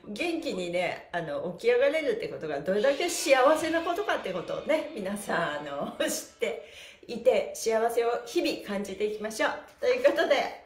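A woman's voice: on the high side, fairly bright tone. A middle-aged woman, cheerful and very polite, leading an upbeat exercise livestream as the instructor, chatting and counting in a small room a short way from the mic. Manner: cheerful, smiling, very polite with honorifics. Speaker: stretching instructor and livestream host. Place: small indoor room, on a mat. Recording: echoey room with faint music.

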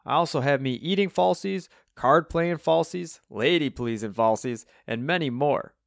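Man voicing a chirpy old Cockney rocker, pitched up. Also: normal speed, vocal fry, dry recording, clean studio sound. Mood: proud, cheery. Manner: chirpy, boastful, excitable. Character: cheery old Cockney double-bass player, proud and eager.

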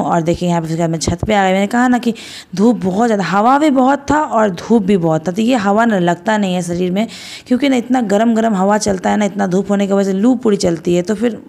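A woman in her thirties from India, narrating her home chores in a chatty, homely voice-over, still hoarse after a cold. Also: mid-range voice, a little mellow. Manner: chatty, homely, rambling with fillers. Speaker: vlogger.

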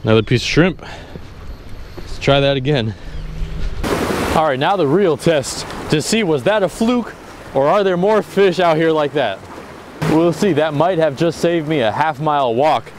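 A young man with a fairly high voice talks through his fishing trip on a rocky sea jetty. He explains his gear in a relaxed way and bursts into loud exclamations when a fish hits. The action camera picks up clear wind and water noise around him. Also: a little slow, long pauses. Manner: excitable, exclaims loudly when fish bite. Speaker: fishing vlogger. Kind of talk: casual solo vlog narration. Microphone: action camera, near and far.

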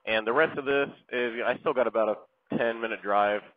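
A middle-aged man chats loosely and pokes fun at himself while riding a motorcycle. His voice sits at an ordinary pitch and comes through a tinny, muffled helmet mic. Road noise runs underneath. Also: moderate pace, no room sound. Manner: rambling, joking, lots of fillers. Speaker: motorcycle vlogger. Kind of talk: casual self-deprecating chat while riding. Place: outdoors on a moving motorcycle.

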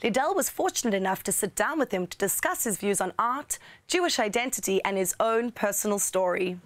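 A young woman hosting a news magazine show, reading a script briskly with wry asides in a studio. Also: medium pitch, expressive. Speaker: show host. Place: studio with blue screen.

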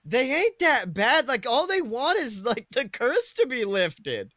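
A man's voice: extremely high pitch, wildly swooping pitch, unhurried, bright, forceful tone, dry recording, clean studio sound. A man co-hosting a podcast in casual comedic chat, joking with lots of slang and swearing. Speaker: podcast co-host.

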